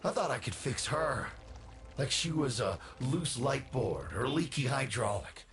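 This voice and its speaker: An actor playing a weary, self-mocking old war veteran in a video game, rambling with sardonic, folksy bitterness. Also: male voice, mid-range voice, very animated, a little slow, fairly bright tone. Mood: rueful bitterness. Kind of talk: video game character dialogue.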